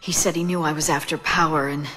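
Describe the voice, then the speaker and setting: Seductive defiant femme fatale, reverberant. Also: female voice, low voice, steady tone, fast, fairly bright tone. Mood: bitter, pleading. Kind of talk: video game cutscene acting. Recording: long reverb, music behind.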